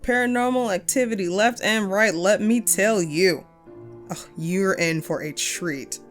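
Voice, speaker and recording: A woman's voice: medium pitch, unhurried, faint music behind, close to an everyday voice. A woman playing a friendly, wistful ghost who hosted a paranormal radio show, chatty and playful in an intimate audio roleplay. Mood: excited, playful. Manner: playful, conversational, self-deprecating, breaks into chuckles. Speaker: radio host.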